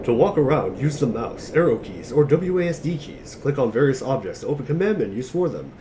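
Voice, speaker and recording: A man's voice: mid-range voice, big pitch swings, brisk, dark tone, slight background noise. A man giving an amateur dramatic reading of scripted narration. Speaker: reader of narration.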